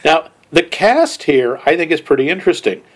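A man's voice: a little high, big pitch swings, moderate pace. A man co-hosting a casual film and TV chat, sharing information with light jokes. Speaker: podcast co-host.

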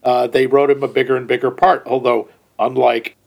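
A man's voice: mid-range voice, little pitch movement, fairly quick, gravelly, a little mellow. A man co-hosting a casual film and TV chat, sharing information with light jokes. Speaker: podcast co-host.

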